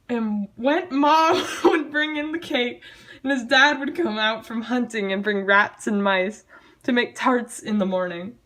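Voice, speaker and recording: A woman's voice: on the high side, fairly bright tone. A woman reading a story aloud in a theatrical, mock-dramatic style, with casual, self-mocking, sardonic asides. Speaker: amateur storyteller reading own childhood writing.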